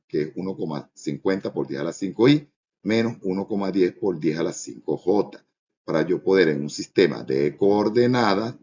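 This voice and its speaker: A man's voice: sits low, dark tone, muffled recording. A man giving a step-by-step lesson as a physics teacher, in a didactic way with frequent short checks for understanding.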